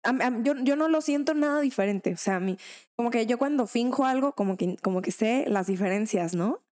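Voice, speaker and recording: A woman's voice: fairly high pitch, expressive, a little fast, darkish tone. A young adult woman, chatting casually and a bit hesitantly as podcast co-host, using slang. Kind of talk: casual chat.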